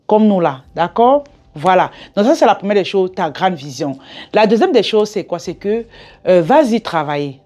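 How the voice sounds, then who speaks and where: A woman's voice: fairly low pitch, mellow. A woman with an African French accent giving advice straight to viewers in an emphatic, instructive way, often asking rhetorical questions and checking in. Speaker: business coach and video host. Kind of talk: direct-to-audience advice vlog.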